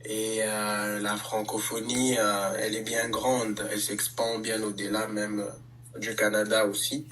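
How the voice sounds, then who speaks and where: Un homme, artiste de hip-hop, qui répond calmement à une interview, avec beaucoup d'hésitations. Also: male voice, lowish voice, far from the mic, noisy background. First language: French. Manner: measured, many hesitations. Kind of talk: casual interview answers.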